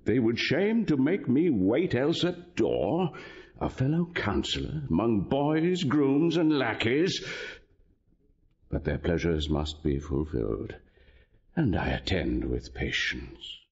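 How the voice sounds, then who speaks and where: Slow, grave, pious archbishop. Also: male voice, mid-range voice, big pitch swings, darkish tone. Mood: anxious, resigned. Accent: British. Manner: grave, measured. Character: meek, pious, dignified older archbishop, wronged. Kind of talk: Shakespearean radio drama acting. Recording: slightly dull audio.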